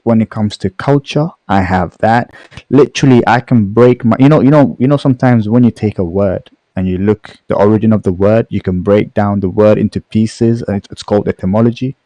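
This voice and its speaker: A man's voice: on the low side, mellow, dry recording. A man on a voice chat, explaining his view step by step and checking in with "right?" and "okay?". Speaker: guest debating identity. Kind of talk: online voice-chat debate.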